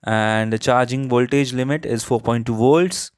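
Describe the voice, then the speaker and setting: A man's voice: mid-range voice, normal speed, clean tone. A man giving a casual unboxing and product review, explaining plainly and repeating his points. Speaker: tech reviewer, host.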